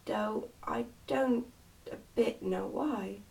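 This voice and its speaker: Lady playing a brave cheery miner lad in a storybook, easygoing, plain talking, bit creaky. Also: fairly high pitch, slow, darkish tone, far from the mic, exaggerated voice, rough recording. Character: brave, cheerful, good-natured miner boy.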